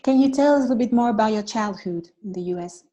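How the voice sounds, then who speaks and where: A woman's voice: a little high, quick pace, mellow. A woman hosting a casual interview, friendly and hesitant, with many fillers and small laughs. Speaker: interview host.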